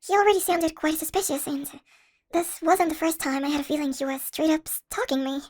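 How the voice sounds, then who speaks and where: A young woman voicing an anxious, chatty shrunken college girl in an audio roleplay, with an expressive, hesitant manner. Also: high voice, fairly quick, lightly acted. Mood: uneasy recounting. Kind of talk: first-person audio roleplay, conversational acting.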